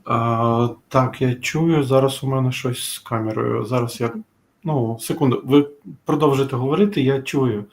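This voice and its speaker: A man's voice: medium pitch, steady tone, normal speed. A man, a native Ukrainian speaker, chatting casually as a guest author on a video call. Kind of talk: casual chat. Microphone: video call microphone.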